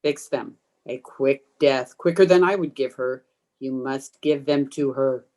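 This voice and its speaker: Woman playing a low, menacing female ghost. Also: a little mellow. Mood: coaxing, commanding. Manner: slow, commanding, taunting. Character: menacing undead female ghost hungry for blood and life. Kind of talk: old time radio horror acting. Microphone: video call.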